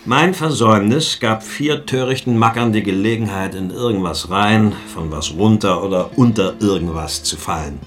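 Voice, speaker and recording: An actor playing a shrewd, ailing police inspector in a radio drama, sardonically polite, knowing and insinuating. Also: male voice, lowish voice, moderate pace, hardly any pauses, lightly acted. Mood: wry courtesy. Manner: courteous, knowing, wry, insinuating.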